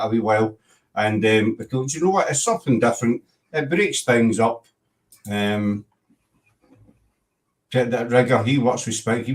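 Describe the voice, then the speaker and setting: A man's voice: mid-range voice, far from the mic. Rambling Glaswegian man, slightly off-mic. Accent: Glaswegian Scottish. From Scotland. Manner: rambling, self-interrupting, chatty with viewers. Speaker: host. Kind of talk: casual livestream chat.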